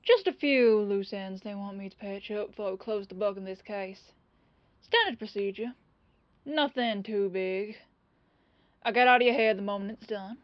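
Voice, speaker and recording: A woman's voice: mid-range voice, normal speed, dry recording, lo-fi sound, close to an everyday voice. A woman playing a humble, apologetic police detective in an ASMR roleplay, chatty and rambling in a disarmingly casual way. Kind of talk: ASMR roleplay audio drama.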